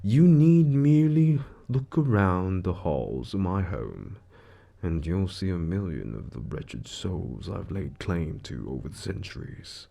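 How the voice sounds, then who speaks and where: A man's voice: sits low, sing-song, unhurried, creaky, dark tone, full character voice. A man voicing a suave, gloating devil lord in an audio roleplay, theatrical and condescending, savoring his words as he toys with a mortal. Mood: smug, boastful. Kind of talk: scripted audio roleplay monologue.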